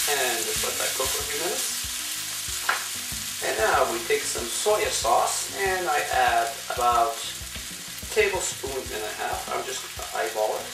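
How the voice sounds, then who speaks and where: A hoarse man who has just had a cold, hosting a home cooking show and giving relaxed step-by-step instructions with many fillers while he cooks at the stove. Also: medium pitch. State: hoarse, losing his voice after a cold. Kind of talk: cooking demonstration. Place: home kitchen at a gas stove. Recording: echoey kitchen, mic at a distance, music.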